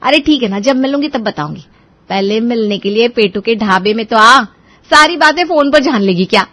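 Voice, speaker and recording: A woman's voice: on the high side, expressive, normal speed, a bit bright. A woman playing a bold, boastful young daughter-in-law in a cartoon story. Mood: cocky. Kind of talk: Hindi moral cartoon story acting. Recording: dull, band-limited track.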